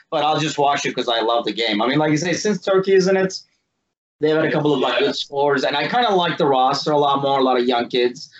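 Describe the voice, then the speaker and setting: A man's voice: medium pitch, lo-fi sound. A man chatting casually and informally in a rambling way, as a panelist on a sports podcast. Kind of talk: casual chat.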